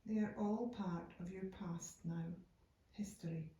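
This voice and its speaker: A woman guiding a yoga nidra meditation in a calm, unhurried, soothing way, leaving deliberate pauses between phrases. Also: medium pitch. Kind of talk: slow guided meditation narration. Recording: echoey room, distant from microphone.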